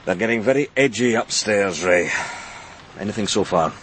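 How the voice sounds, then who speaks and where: An actor playing a harried senior police detective, weary and dryly sardonic, in a naturalistic radio crime drama. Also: male voice, on the low side, expressive, moderate pace, noisy background, lightly acted.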